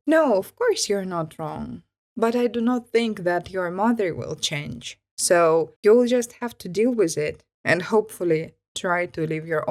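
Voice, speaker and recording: A woman reading first-person online stories aloud in a calm bedtime style, with expressive rises and falls. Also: on the high side.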